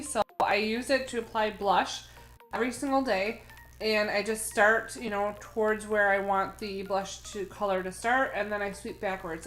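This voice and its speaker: A middle-aged woman reviews her makeup favorites in a relaxed, chatty way, her voice a touch hoarse from a cold. She sits at a desk in a room at home, picked up by the camera mic, with faint music playing underneath. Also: medium pitch, low-quality recording. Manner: chatty, explaining with frequent fillers. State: slightly hoarse from a head cold. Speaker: beauty vlogger, mother. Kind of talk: casual product review. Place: indoor home room with string lights.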